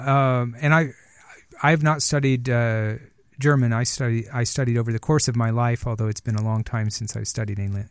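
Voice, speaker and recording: A man's voice: medium pitch, vocal fry, dry recording. A middle-aged man hosting an interview, asking curious, friendly questions. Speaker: podcast host.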